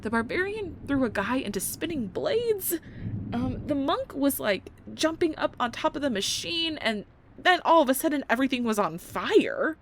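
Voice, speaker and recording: A woman's voice: high-pitched, normal speed. A young woman playing a nerdy, playful girlfriend who rambles about tabletop games in an intimate, casual ASMR roleplay style. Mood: excited, rambling. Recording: storm ambience with thunder behind. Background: thunder and storm noise.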